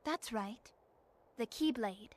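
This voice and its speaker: An actress playing a calm, gentle young woman in a video game, measured and composed. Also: a little high, heavy background noise. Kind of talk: scripted video game acting.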